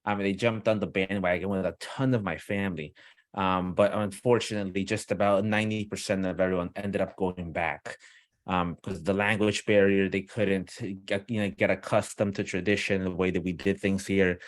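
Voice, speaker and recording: A man's voice: sits low, steady tone, moderate pace, dry recording. A man from Peru who grew up partly in the US, talking casually and enthusiastically as a podcast guest over a video call on his own microphone. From Peru, moved to the US as a young child. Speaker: podcast guest, company founder. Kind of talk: casual conversational interview. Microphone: own external microphone on a video call.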